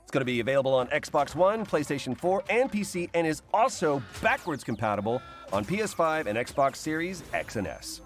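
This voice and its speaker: A man's voice: on the high side, very animated, fairly quick, few pauses, soft background music. A man co-hosting a video game showcase, presenting scripted comedy in a playful, theatrical, jokey way.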